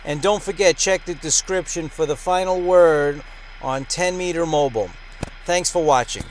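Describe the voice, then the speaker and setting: A man's voice: on the high side, moderate pace, a little hiss or hum, poor audio. A man hosting a ham radio channel in an upbeat, emphatic announcer-like way. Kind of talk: upbeat vlog presenting.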